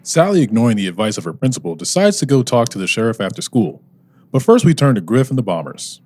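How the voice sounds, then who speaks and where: Highly animated male radio drama narrator. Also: fast. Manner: wry, tongue-in-cheek asides.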